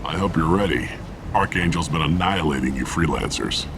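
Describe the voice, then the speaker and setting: An actor playing a gruff, businesslike mercenary recruiter in a video game, blunt, unimpressed and matter-of-fact. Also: male voice, extremely low pitch, a little fast, long reverb tail, background noise. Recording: loud music behind the voice. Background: loud music.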